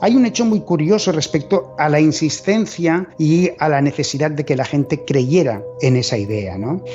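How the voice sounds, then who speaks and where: A male expert guest explains things in a dark, mellow tone at a slightly high pitch. He often checks with tag questions. The recording is a little dull. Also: normal speed, soft background music. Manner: seeks agreement with tag questions. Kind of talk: explanatory interview talk.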